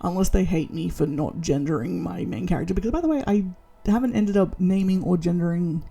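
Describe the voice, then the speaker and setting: A young Australian woman from Tasmania, chatting casually and thinking aloud as she rates her own writing, self-deprecating and quick to laugh at herself. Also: fairly low pitch, gravelly, dark tone, breathy edge, soft background music. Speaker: vlogger. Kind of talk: casual self-rating chat.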